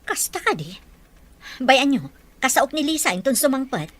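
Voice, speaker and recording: A woman's voice: high-pitched, big pitch swings, normal speed, fairly bright tone, heavily acted. A woman playing a strict, disapproving mother in a radio drama. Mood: alarmed. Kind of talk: Filipino radio drama acting.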